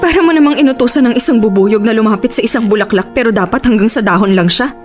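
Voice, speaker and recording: A high, quick-voiced young woman in love, heartbroken and reproachful as a woman acts her out. She pleads with pressing questions in an emotional radio romance style, with faint music behind her. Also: close to an everyday voice. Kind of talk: Tagalog radio romance drama acting.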